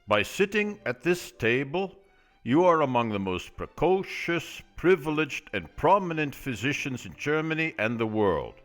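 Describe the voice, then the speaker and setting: A man playing a self-important ward president, giving formal, measured oratory in a radio drama. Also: on the high side, very animated, a bit bright, faint music behind. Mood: ceremonious. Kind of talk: radio drama acting, formal welcome speech.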